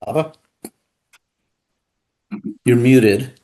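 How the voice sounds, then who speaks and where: A man's voice: medium pitch, very slow, dark tone. A man moderating a panel over a video call, speaking hesitantly with many fillers. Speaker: session moderator. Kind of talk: panel discussion.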